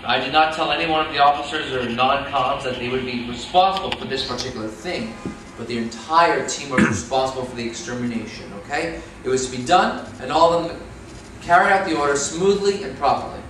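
A man's voice: mid-range voice, brisk, close to an everyday voice. A young man playing a matter-of-fact, evasive Nazi camp commandant under interrogation in a staged reading. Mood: matter-of-fact, explaining. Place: meeting room, staged reading at a table. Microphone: far camera microphone. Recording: very echoey room, far microphone, hiss.

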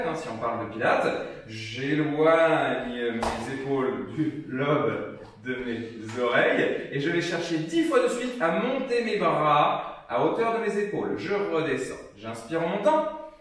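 A young man leading a home workout class, counting reps aloud and giving steady encouraging cues as he demonstrates. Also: a little high. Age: young adult. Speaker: fitness coach. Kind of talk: guided workout instruction. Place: gym studio, indoors. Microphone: far camera. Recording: very echoey room, voice from far off.

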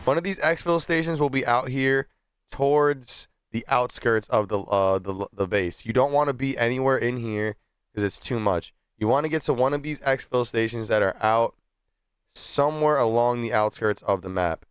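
A man's voice: mid-range voice, moderate pace, clean tone, dry recording, clean studio sound. A young man who streams games, giving casual gameplay commentary and tips in slangy, repetitive explanations. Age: young adult. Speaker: game streamer. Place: small streaming room at a desk. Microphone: close desk microphone. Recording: game gunfire and vehicle noise behind. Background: video game gunfire and ambience.

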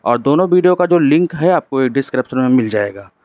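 A man's voice: medium pitch, lively intonation, fast, a little mellow, dry recording. A man from narrates a casual road-trip vlog from a moving vehicle. He talks in a chatty way and keeps adding "theek hai" as a check-in tag. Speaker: travel vlogger.

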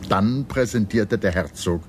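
Very deep-voiced male documentary narrator. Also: measured pace. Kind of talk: scripted historical documentary narration. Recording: clear background noise and ambience.

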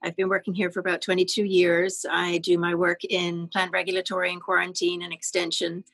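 A woman's voice: on the low side, completely flat delivery, fairly quick, a bit bright. A woman introducing herself on a video call as a government entomologist, in a brief, matter-of-fact way.

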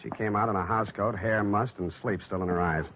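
Actor as a wry hard-boiled radio detective, muffled recording. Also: male voice, lowish voice, steady tone, fast, natural voice. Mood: dry, brisk. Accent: American. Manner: clipped, dry, sardonic. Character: wry tough male private detective. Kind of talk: 1940s radio acting, hard-boiled narration. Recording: dull noisy old radio recording.

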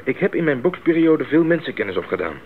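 A man's voice: on the high side, big pitch swings, quick pace. An actor playing an idealistic, eager young male missionary in a 1980s radio drama, speaking earnestly and articulately. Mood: cheerful. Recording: narrow-band 1980s radio recording, faint noise.

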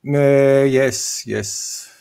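Man, 30s, video-call meetup organizer; slow, halting, gravelly delivery. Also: medium pitch, steady tone, dark tone. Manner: hesitant, many filler uhs. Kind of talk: casual video call discussion. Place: home room with bookshelves. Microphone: desk microphone on video call.

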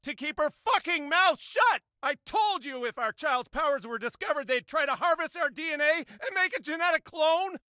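An actor playing a middle-aged, high-strung American suburban dad in an animated comedy game, foul-mouthed and falsely cheery. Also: male voice, moderate pace, hardly any pauses, no room sound, clean studio sound, exaggerated voice. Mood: tense, secretive. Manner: swings between sugary pet names and shrill cursing.

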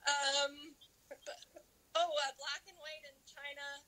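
A woman's voice: high-pitched, little pitch movement, slow, pushed and bright, rough recording. A woman giving clues in a guessing game, hesitant with many ums. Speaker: live stream guest playing a guessing game.

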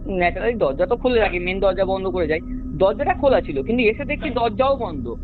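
A man's voice: high voice, animated, fast. A young man with a West Bengali accent, giving a rambling, repetitive, earnest account as a caller over the phone. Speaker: caller recounting strange events at home. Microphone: phone call. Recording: narrow tinny phone line, music behind. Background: music.

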